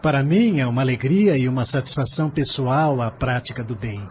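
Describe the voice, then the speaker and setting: Actor as an earnest, gentle man, mid-pitched. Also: fairly quick, slight background noise, lightly acted. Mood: sincere, calm. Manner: reflective, sincere, polite. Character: earnest, devout, idealistic, gentle middle-aged businessman. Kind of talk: audio drama acting.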